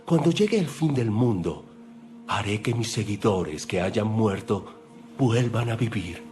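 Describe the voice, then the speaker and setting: A solemn male holy teacher speaks slowly and deliberately in an audio Bible drama. His pitch is middling and his manner is assured and declarative, with faint music behind him.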